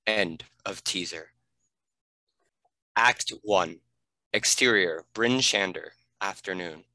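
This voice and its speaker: Man, script narrator, low voice, bright forceful tone, dry recording. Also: slow, dry recording. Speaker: narrator reading stage directions.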